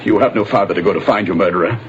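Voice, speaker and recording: An actor plays a strained, guilt-ridden middle-aged businessman in an old-time radio drama, speaking in a lowish voice. The recording is dull and lo-fi, with a faint hiss. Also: racing, lightly acted. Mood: tense. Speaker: suspect. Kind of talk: 1940s radio acting.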